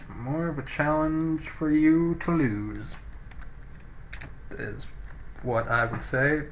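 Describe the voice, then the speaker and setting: A man doing casual game commentary, thinking aloud with some hesitation and reading on-screen text out, recorded on a poor, noisy microphone. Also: medium pitch. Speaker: gameplay video host. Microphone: low-quality microphone.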